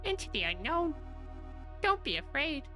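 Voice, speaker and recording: Woman voicing a tiny lonely creature: very high, squeaky, gentle, wistful; light music under. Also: big pitch swings, moderate pace, full character voice.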